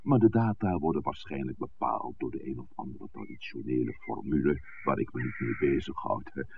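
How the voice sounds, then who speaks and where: A man playing a courteous, evasive village priest in a radio drama, speaking formally in a gravelly voice on an old recording. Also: very deep voice, expressive, a little fast, phone-quality sound, a little hiss or hum, full character voice. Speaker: radio play actor. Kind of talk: 1960s radio drama acting.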